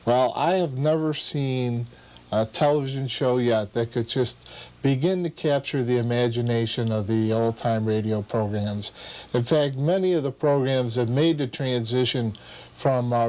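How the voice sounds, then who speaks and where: A man giving informal, explanatory interview answers in a halting, measured manner as a club member. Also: mid-range voice, darkish tone. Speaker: old-time radio club member interviewed.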